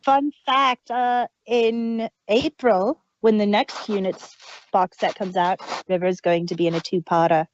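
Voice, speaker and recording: A woman's voice: medium pitch, fairly even intonation, measured pace, no room sound, dull audio. A woman co-hosting a fan podcast review, chatting casually and sharing details. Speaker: podcast co-host.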